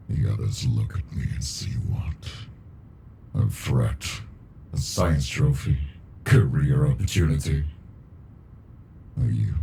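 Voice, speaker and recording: An ancient, weary alien monster murmurs in an extremely low, dark voice with a gravelly edge. He speaks slowly and deliberately, brooding and condescending yet intimate, as in an ASMR roleplay. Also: a little hiss or hum, exaggerated voice. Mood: guarded, unsettled. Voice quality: very deep, gravelly, breathy murmur. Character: ancient, weary, captive male alien monster, aloof but fascinated. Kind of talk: ASMR character roleplay monologue.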